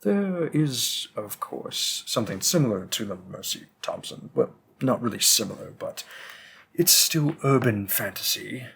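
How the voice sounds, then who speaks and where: A man's voice: mid-range voice, creaky, a bit bright, slightly rough, full character voice. A man playing a patient, bookish vampire bookseller with a hint of menace, musing hesitantly in a conversational roleplay audio drama. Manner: musing, hesitant, deliberate, with frequent pauses.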